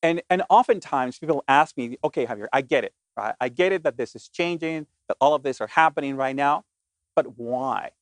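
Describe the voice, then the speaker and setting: A man's voice: medium pitch, brisk, no room sound. A middle-aged man from Colombia, giving an earnest business keynote with frequent restarts. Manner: earnest, many restarts and filler words. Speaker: conference speaker.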